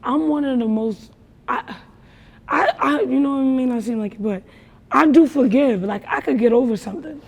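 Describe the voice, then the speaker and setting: A young woman from New York with a naturally raspy voice, telling her story in a casual interview with emphatic, slangy talk. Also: high-pitched, moderate pace, big-room sound. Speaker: rapper guest.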